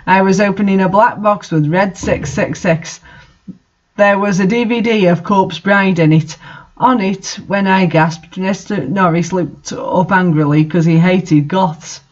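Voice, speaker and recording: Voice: medium pitch, a little slow, lo-fi sound. A host reading fan fiction aloud and adding casual, incredulous commentary.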